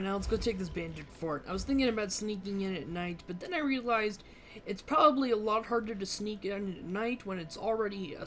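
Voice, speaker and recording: A woman giving casual, wry gameplay commentary, sometimes speaking in character as she plays. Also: medium pitch. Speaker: let's play host, gamer. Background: game music.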